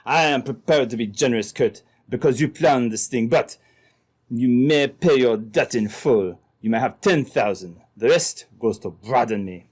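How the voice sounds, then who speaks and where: A man playing a smooth-talking, scheming Frenchman in an old-time radio drama, phrasing things formally. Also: mid-range voice, normal speed, natural voice. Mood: smoothly bargaining.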